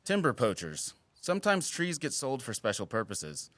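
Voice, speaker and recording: An actor playing a wry, guarded wildlife biologist in an audio drama, with teasing, dry sarcasm and an earnest streak. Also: male voice, mid-range voice, huge pitch swings, normal speed, a bit bright, no echo. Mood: matter-of-fact. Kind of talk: naturalistic audio drama acting.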